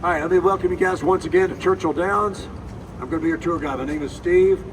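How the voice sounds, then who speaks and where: Hoarse guy leading a tour outside, kinda high voice, heard from a distance with lots of street noise. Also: fast. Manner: folksy, repeats key points, asks "all right?". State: hoarse from a cold. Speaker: tour guide. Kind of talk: guided walking tour talk. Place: outdoors at a racetrack with a tour group.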